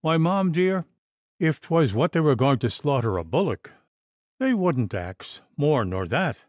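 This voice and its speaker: A man's voice: a little high, big pitch swings, a little slow, darkish tone, dry recording, pitched above the performer's own voice. A man doing a gushing maidservant. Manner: gushing, dramatic. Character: gossipy Irish maidservant, woman.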